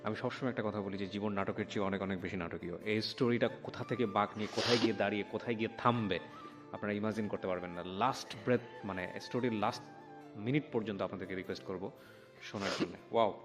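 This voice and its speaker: A man's voice: on the low side, fast talker. Bangladeshi man hosting a dramatic storytelling show. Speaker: show host and interviewer. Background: music.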